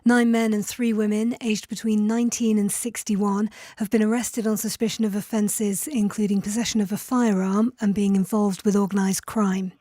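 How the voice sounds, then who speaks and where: Woman newsreader, soft-toned and dry. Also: medium pitch, little pitch movement, normal speed, few pauses, no echo. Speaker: radio newsreader. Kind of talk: scripted news bulletin.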